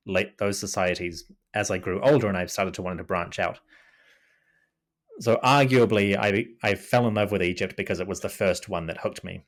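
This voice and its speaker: Deep-voiced New man. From New Zealand. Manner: thoughtful, restarts often, lots of "you know". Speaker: podcast guest, Egyptology podcaster. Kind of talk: casual interview talk. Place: remote call.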